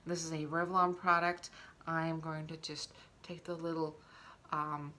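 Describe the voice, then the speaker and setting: A woman presents a casual makeup tutorial in a bright, forward voice. Her pitch sits a little low and her pace is unhurried. She talks through each step instructively while demonstrating it. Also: level tone, a little hiss or hum.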